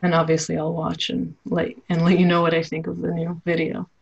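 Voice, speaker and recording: A woman's voice: on the low side, monotone, fairly quick, vocal fry, highs cut off. A woman hosting a podcast, chatting casually and thanking her guests. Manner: friendly, wraps up with repeated thanks. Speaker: podcast host. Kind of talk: casual interview chat.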